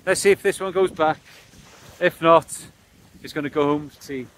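A man's voice: fairly high pitch, normal speed, a bit bright, a little hiss or hum. Chatty man fishing on a beach. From Wales. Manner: chatty, explaining as he works. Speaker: fishing vlogger. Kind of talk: casual chat to camera. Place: outdoors on a pebbly beach by the sea. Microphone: camera close up, held or on a stand.

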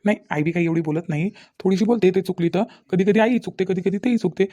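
A man's voice: high voice, fast talker, mellow. A man playing a young village husband in a comedy cartoon, placating and reassuring, with a rural Vidarbha Maharashtrian accent. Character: young village husband, soothing, conciliatory son-in-law. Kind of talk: Marathi comedy cartoon dialogue.

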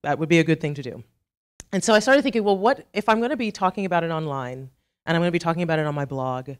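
A woman's voice: low voice, expressive, fast talker, smooth. A middle-aged woman from Trinidad with a Trinidadian accent, giving a chatty, self-deprecating conference talk full of asides. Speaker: conference speaker. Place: conference hall.

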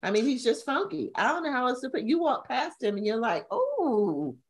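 A middle-aged woman co-hosting a casual chat over a video call from home, telling stories with sudden high-pitched bursts of emphasis. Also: sing-song, moderate pace, husky. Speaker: co-host. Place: home room, indoors. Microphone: video call webcam.

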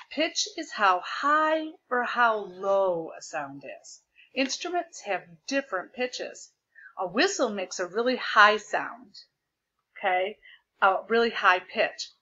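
A woman teacher projecting her voice loudly as she explains a lesson step by step over a video call, repeating the key points. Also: mid-range voice, highs cut off. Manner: loud projected voice. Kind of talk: remote classroom lesson. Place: home desk with a document camera. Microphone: computer mic on a video call.

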